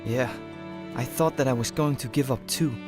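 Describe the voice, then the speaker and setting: A woman's voice: rumbling low, moderate pace. A woman playing an easygoing, boyish young male trainer in an anime-style manga dub. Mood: reflective. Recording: music under the voice. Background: background music.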